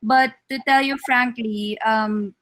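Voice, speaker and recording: A woman with a Filipino accent offering measured, thoughtful remarks in a team meeting, mixing Tagalog and English. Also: on the high side, fairly even intonation, fairly bright tone. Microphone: video call.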